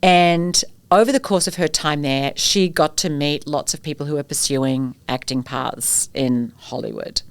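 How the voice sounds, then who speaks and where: Australian woman, middle-aged, low pitch, dry recording, animated storyteller. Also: measured pace, few pauses, clean tone, no echo. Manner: animated storyteller, rhetorical questions. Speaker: guest expert on courage. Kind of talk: conversational interview.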